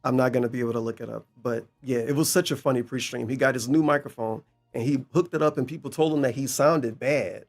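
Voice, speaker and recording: A man's voice: medium pitch, fairly even intonation, fast, few pauses, a little mellow, faint music behind. A man giving casual, mocking reaction commentary as a streamer, incredulous, sarcastic and profane.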